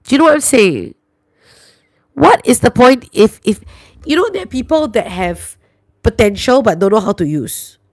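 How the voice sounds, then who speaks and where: Chatty Singaporean woman, gravelly voice. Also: fairly high pitch, sing-song, moderate pace, pauses often, no echo, clean studio sound. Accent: Singaporean English. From New Zealand and Singapore. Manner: chatty, reacts aloud to comments, sprinkles lah and lor. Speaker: livestream host. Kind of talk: casual livestream chat reading viewer comments.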